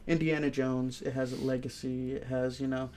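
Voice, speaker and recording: A man co-hosting a casual film review chat, speaking haltingly as he thinks aloud. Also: mid-range voice, level tone, a little mellow, a little hiss or hum. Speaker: podcast co-host reviewing films.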